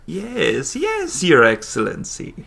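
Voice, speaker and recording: Creaky, wildly swooping galley convict. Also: male voice, on the high side, wildly swooping pitch, takes it slowly, fairly bright tone, exaggerated voice. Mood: eager, taunting. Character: eager, rough galley convict witness, overfamiliar. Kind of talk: radio drama acting.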